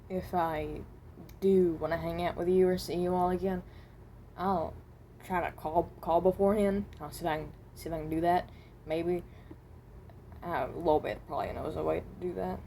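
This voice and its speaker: A woman voicing a weary, self-loathing animatronic rabbit, halting and often trailing off, in an amateur improvised monologue. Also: lowish voice, fairly even intonation, mellow, slight background noise, natural voice. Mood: hesitant, awkward.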